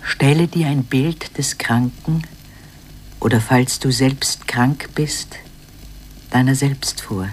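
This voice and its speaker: A solemn female reader in a radio drama. Her hushed voice is extremely low and unhurried, with faint background noise. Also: poor audio. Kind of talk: scripted reading in radio drama.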